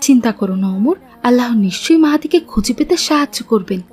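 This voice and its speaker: A woman's voice: fairly high pitch, expressive, fast talker, darkish tone, husky, faint music behind. A woman playing a devout, concerned mother with a reassuring manner in a cartoon. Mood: concerned, comforting. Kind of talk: Bengali Islamic cartoon dubbing.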